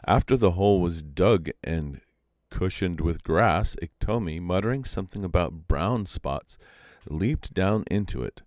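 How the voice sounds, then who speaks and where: A man reading a story aloud live as an expressive storyteller. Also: sits low, no room sound, studio quality. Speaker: storyteller, reader.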